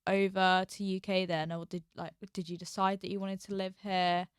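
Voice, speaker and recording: Clear, smooth-voiced woman. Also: medium pitch, level tone, moderate pace, no echo, clean studio sound. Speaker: podcast co-host. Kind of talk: casual chat.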